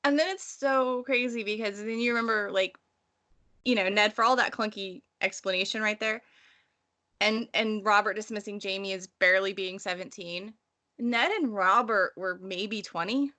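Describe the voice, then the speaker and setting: Female co-host, bright forward voice, mid register, wry and irreverent, informal analysis. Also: mid-range voice, normal speed. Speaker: podcast co-host discussing a novel. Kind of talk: casual analytical book discussion.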